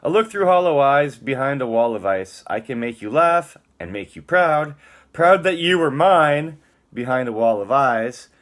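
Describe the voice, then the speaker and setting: A man's voice: medium pitch, animated, a little slow, a bit bright. A man giving a dramatic, theatrical spoken-word reading, moving between emphatic lines and muttered casual asides.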